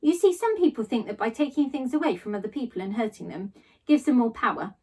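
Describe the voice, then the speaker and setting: Storybook mum played by a woman reader, higher pitch, gentle, patient, earnest, tender, slightly distant from the mic. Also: expressive, fast talker, husky. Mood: earnest, sad. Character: gentle, patient mum explaining to her child.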